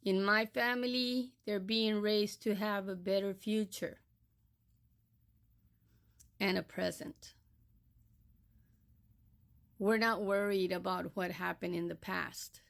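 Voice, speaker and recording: Slow, firm older woman from Texas. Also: medium pitch, steady tone, creaky, fairly bright tone. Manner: firm, admonishing, deliberate. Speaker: social media creator. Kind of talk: direct-to-camera monologue.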